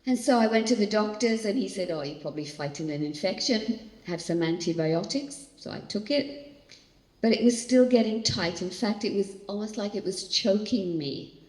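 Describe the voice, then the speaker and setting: An older woman pastor from Australia giving an animated, storytelling church talk on coping with stress. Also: medium pitch, normal speed. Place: large hall. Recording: echoey hall, long reverb.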